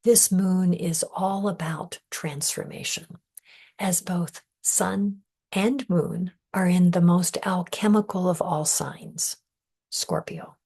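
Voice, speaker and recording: A woman leading a guided meditation in a soft, breathy, often near-whispered voice, calm, measured and soothing. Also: on the low side. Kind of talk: guided meditation, scripted narration.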